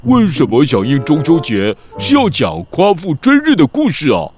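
A man's voice: a little high, wildly swooping pitch, moderate pace, darkish tone, faint music behind. A man voicing a cheeky, sarcastic young boy who whines and complains in a children's radio drama. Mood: impatient. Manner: whiny, exaggerated, complaining.

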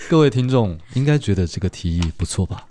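A man's voice: lowish voice, animated, quick pace, noisy background. A man speaking casually as a guest actor in an easygoing, conversational way. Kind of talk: casual chat.

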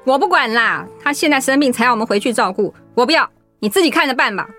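Woman acting a stubborn, resentful wife, highish voice. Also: lively intonation, fast, faint music behind. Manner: sharp, insistent. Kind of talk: radio drama acting.

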